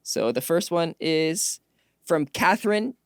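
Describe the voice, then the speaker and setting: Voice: medium pitch, lively intonation, slow, no room sound, studio quality. A podcast host speaking conversationally, affirming the guest and introducing segments. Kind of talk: conversational interview hosting.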